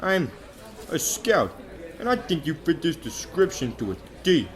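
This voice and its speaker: A man's voice: on the high side, big pitch swings, measured pace. A man playing a slick, brash talent scout in an amateur radio drama, with a salesman's hustling patter. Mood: brash, persuasive. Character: fast-talking showbiz scout, slick, brash opportunist.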